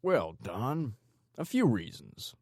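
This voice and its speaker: A man voicing a calm, sinister male psychopathic gangster in an audiobook, with menacing, mock-teacherly politeness. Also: on the low side, measured pace, creaky, dry recording, clean studio sound, full character voice. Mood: calm menace. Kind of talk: audiobook character dialogue.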